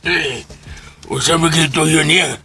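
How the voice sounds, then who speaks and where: Jittery gang sidekick, cebuano accent, gravelly n high voice, radio drama w music under. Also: male voice, fairly even intonation, a little slow, sharp tone. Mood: agitated. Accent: Cebuano Filipino. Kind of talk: Filipino radio drama acting.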